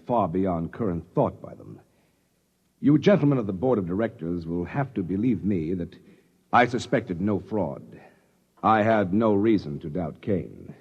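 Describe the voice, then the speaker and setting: An actor playing a cautious, self-justifying middle-aged American executive, formal and blunt, in 1950s radio drama style. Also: male voice, low voice, husky, full character voice. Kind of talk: 1950s radio drama acting, part testimony, part dialogue. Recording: dull vintage 1950s radio recording.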